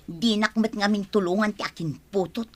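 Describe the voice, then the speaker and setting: A woman's voice: medium pitch, normal speed, a bit bright, close to an everyday voice. A woman playing a defiant young single mother in an audio drama, plaintive and argumentative. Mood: defiant, bitter. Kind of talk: Ilocano audio drama acting.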